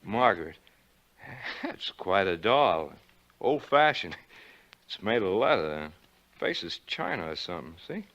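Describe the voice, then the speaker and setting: An old, dull-sounding radio recording of a guilty man with a very low, bright-edged voice. He stammers and dodges, nervous and blustering, with menace underneath, in 1940s suspense style. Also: big pitch swings, unhurried, pauses often, exaggerated voice. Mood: nervously coaxing.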